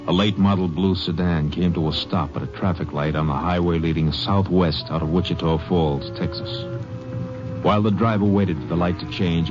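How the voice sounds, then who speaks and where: A male American narrator with an extremely deep voice tells a story in measured old-time radio style. The sound is muffled, with music underneath. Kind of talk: 1950s radio drama narration.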